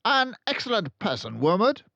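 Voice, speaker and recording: A man voicing a formidable, impatient female headmistress in a children's storybook, barking and shouting her words. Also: sits high, huge pitch swings, normal speed, vocal fry, no room sound, voice pushed up, exaggerated voice. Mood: emphatic, approving. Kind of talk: children's storybook read-aloud.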